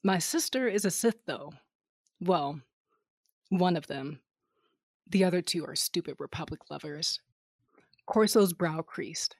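A woman's voice: fairly low pitch, lively intonation, unhurried, pauses often, no room sound, studio quality. A woman playing a brash, cynical smuggler captain, narrating an audio drama in a wry, flippant first person. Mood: exasperated.

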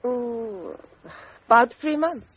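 An actress playing a composed, outspoken young Englishwoman with a crisp, frank upper-class delivery in a 1950s radio drama. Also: a little high, animated, takes it slowly, no room sound, full character voice. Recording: dull 1950s radio recording.

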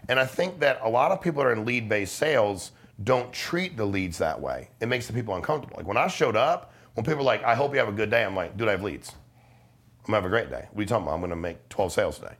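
A man's voice: fairly low pitch, expressive, quick pace, fairly bright tone, breathy edge. A middle-aged man talking casually and persuasively as an interview guest, blunt and emphatic, with slang and swearing. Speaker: podcast guest, life insurance salesman.

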